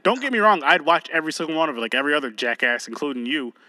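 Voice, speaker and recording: A man with a bright, forceful voice recorded close and dry, co-hosting a podcast in loose, joking banter. He talks casually and swears freely. He moves between lists and anecdotes in a relaxed, profane way. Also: a little high, racing, dry recording. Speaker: podcast co-host. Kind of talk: casual chat.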